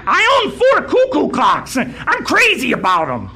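A man's voice: very high-pitched, wildly swooping pitch, a little slow, crowd noise, heavily acted. A man playing a clumsy, apologetic henchman posing as Swiss in a comic radio drama, sheepish and babbling. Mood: dopey enthusiasm.

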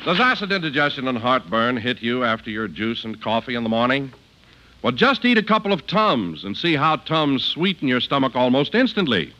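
A man's voice: mid-range voice, very animated, moderate pace, pushed and bright, muffled recording, a little hiss or hum. A man announcing in polished, emphatic 1950s radio style, reading scripted copy.

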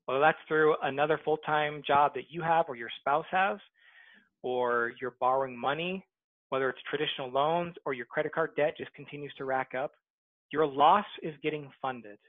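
A man with a fairly high voice answers interview questions over a headset on a video call. The audio is thin and phone-like, dry with no room sound. He argues persuasively and keeps repeating his central point. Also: normal speed, no echo. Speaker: guest, book author.

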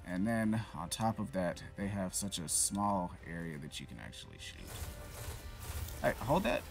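A man's voice: sits low, big pitch swings, unhurried, creaky, soft background music. A man giving casual, rambling commentary while playing a shooter game, cursing and joking at himself. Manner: rambling, self-mocking, swears and vents at the game. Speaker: gaming channel host.

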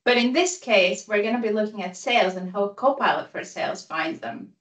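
A woman's voice: mid-range voice, lively intonation, fairly quick. Upbeat Greek-accented woman, roomy and distant. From Greece and Italy. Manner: upbeat, enthusiastic, self-deprecating humor. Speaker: guest speaker, consultant. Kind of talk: presentation on a video call. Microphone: video call. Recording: roomy sound, voice a bit far from mic.

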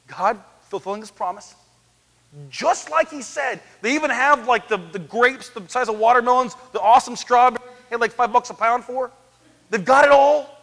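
Bright-voiced man preaching in a church. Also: extremely high pitch, normal speed. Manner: animated, paces the stage, swings from loud to hushed. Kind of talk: lively sermon. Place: church sanctuary, large, indoors. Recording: echoey church hall.

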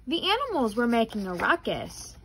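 A young adult woman reading a children's picture book aloud in an expressive, careful way. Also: a little high, moderate pace, a little hiss or hum. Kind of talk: children's storybook read-aloud.

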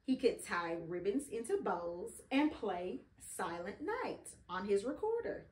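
A middle-aged woman reading a children's story aloud expressively, pausing to prompt and encourage a child. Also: medium pitch. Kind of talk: children's read-along storytelling. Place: indoor living room beside a Christmas tree. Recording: roomy, echoing home recording.

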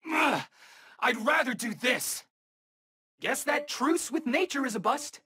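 A man's voice: extremely high pitch, expressive, takes it slowly, pushed and bright, breathy edge, exaggerated voice. A man voicing a cocky, wisecracking eighteen-year-old superhero in a video game, talking in slangy battle quips. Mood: excited, exasperated. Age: young adult.

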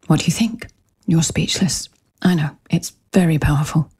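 An actress playing a pushy, upbeat new-age book agent with a breathy voice in an audio romantic comedy. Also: female voice, low voice, fairly even intonation, normal speed, background noise, lightly acted. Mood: persuasive. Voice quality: breathy, husky. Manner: persuasive, breezily insistent.

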